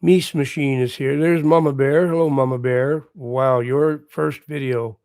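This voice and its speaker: A man with a hoarse voice from a recent bout of laryngitis, hosting a casual livestream and chatting quietly and encouragingly with his viewers. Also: mid-range voice, steady tone, measured pace, no echo, clean studio sound. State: hoarse, recovering lost voice. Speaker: livestream host. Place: at home with morning coffee.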